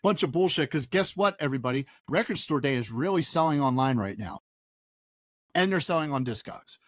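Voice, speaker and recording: A man's voice: on the high side, expressive, a little fast, no echo, clean studio sound. A man, co-hosting a casual podcast from his record shop, ranting and swearing with energy. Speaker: podcast co-host and record store owner. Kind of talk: casual chat.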